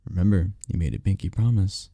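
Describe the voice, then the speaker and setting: A man playing a tender, powerful incubus boyfriend in an intimate roleplay ASMR, speaking softly and soothingly with unhurried, caring murmurs. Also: no room sound, studio quality. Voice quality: deep, dark, gravelly, husky. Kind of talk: intimate roleplay ASMR, scripted comfort monologue. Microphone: close mic, dry.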